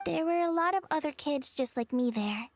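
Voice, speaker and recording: A woman voicing a gentle, earnest young girl in an anime-style game, sincere and a little hesitant. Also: high-pitched, lively intonation, normal speed, faint music behind. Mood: earnest, sad.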